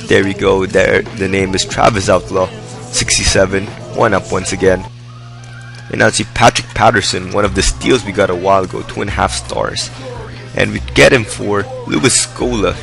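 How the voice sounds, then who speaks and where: A young man with a hoarse voice giving rambling, upbeat commentary over his own gameplay. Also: fairly low pitch. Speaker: gaming video host. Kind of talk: casual game commentary. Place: indoors, hot room.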